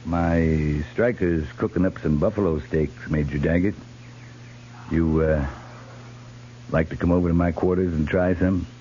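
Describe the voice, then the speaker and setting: A man playing a laconic American cavalry captain in a 1950s radio western, unhurried, folksy and understated. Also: sits low, steady tone, warm tone. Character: laconic, easygoing cavalry captain, independent-minded. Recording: muffled 1950s radio recording, faint hum.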